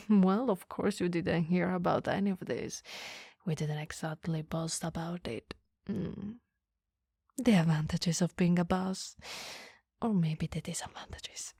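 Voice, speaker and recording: A woman playing a coy, flustered female boss in a flirty ASMR roleplay, speaking in soft, breathy whispers with a teasing, hesitant manner. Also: lowish voice, a little slow, dry recording, clean studio sound, exaggerated voice. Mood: playful, flustered.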